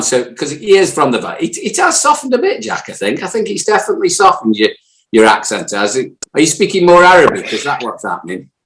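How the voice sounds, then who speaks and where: British male host, mid-pitched, jokey and teasing, roomy sound. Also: a bit bright. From United Kingdom. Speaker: podcast host. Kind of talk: casual chat.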